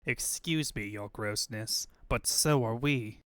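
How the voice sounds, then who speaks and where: Man playing a servile villain's henchman, slow delivery. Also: medium pitch, clear, resonant tone, dry recording, studio quality, close to an everyday voice.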